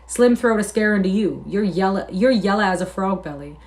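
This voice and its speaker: A woman's voice: mid-range voice, expressive, fairly quick, a little mellow, lightly acted. A woman voicing a mocking, aggressive ranch man with coarse, taunting rustic speech, in an audiobook reading. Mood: scornful.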